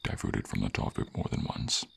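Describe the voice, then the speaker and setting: A man playing a gentle, noble, melancholy silver dragon in an ASMR fantasy roleplay, speaking tenderly and formally in a breathy, gravelly voice. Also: slight background noise, natural voice. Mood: shy, tender. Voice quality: husky, gravelly, breathy low voice. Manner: slow, tender, formal, hesitant phrasing.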